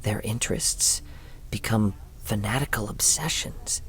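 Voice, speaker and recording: A man voicing a courteous young swordsman investigator in a video game, speaking in a measured, formal, pensive way. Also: lowish voice, fairly bright tone, slight background noise. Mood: thoughtful.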